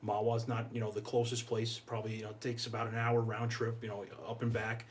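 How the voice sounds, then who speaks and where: A man's voice: fairly low pitch, completely flat delivery, quick pace. A middle-aged American man speaking casually and earnestly as an interview guest, repeating phrases for emphasis. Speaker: guest, fire chief. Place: podcast studio, indoors. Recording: clear background noise, room ambience.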